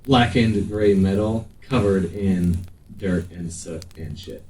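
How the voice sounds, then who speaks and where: Low-voiced man running a roomy tabletop game. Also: takes it slowly, warm tone. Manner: joking, sweary. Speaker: game master. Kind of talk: casual tabletop game narration. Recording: roomy, distant mic, faint background noise.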